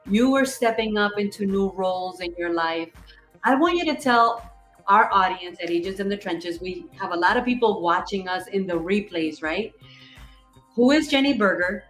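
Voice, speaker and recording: A woman's voice: mid-range voice, lively intonation, normal speed, a bit bright, reverberant, faint music behind. A woman hosting a livestream interview, effusive and upbeat, asking a string of rhetorical questions. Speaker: podcast host.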